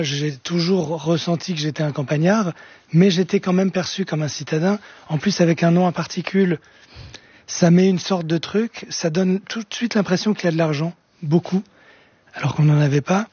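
Man, soft-spoken, husky and a bit high, storytelling guest, dry dull radio studio sound. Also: fairly even intonation, normal speed, no room sound. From France. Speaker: guest musician. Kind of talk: radio talk show guest.

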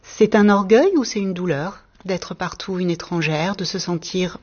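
A woman interviewer asking gentle, probing questions. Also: fairly low pitch, moderate pace, husky, dull audio.

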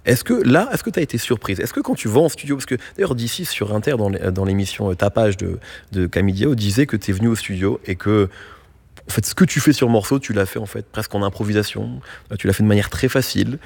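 A man's voice: on the low side, expressive, fast talker, vocal fry, a little mellow, husky. A man hosting a radio interview, asking long, rambling questions full of fillers. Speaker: radio host.

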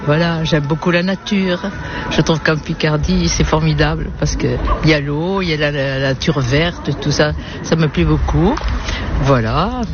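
An older woman from Toulouse with a soft Toulouse accent, answering a radio interview with frequent laughs and a habit of repeating "voilà". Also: low-pitched, moderate pace, music in the background. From Toulouse, France. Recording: lo-fi with background noise.

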